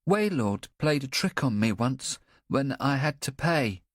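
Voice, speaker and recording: A man voicing an anxious, simple-minded young boy in an audiobook, talking in a halting, earnest way. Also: mid-range voice, sing-song, no echo, clean studio sound, pitched above the performer's own voice. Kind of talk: audiobook character voice.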